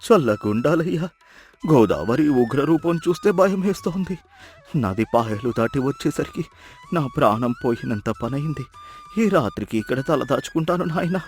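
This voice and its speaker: A man's voice: on the high side, expressive, normal speed, a little mellow, soft background music. A man playing an old, starving wandering mendicant, plaintive and mysteriously knowing, in acted moral story dialogue. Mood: weary. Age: older. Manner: pleading, plaintive, ominous.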